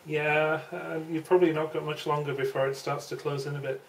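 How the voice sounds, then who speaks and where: A woman's voice: deep voice, flat delivery, a little fast. A woman chatting casually as an amateur radio operator over a shortwave radio transmission. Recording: distant radio link with faint static.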